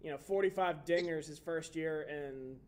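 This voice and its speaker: A man in his twenties co-hosting a sports podcast, relaxed and chatty, asking his partner questions. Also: a little high, moderate pace. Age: 20s. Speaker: podcast co-host. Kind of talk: casual sports chat.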